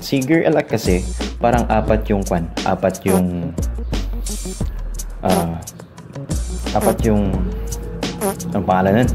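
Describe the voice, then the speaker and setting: A Filipino man with a low voice walks through a casual product tutorial. He speaks slowly and haltingly, searching for words and dropping in English terms, with music clearly playing behind him. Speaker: vlogger giving a tutorial.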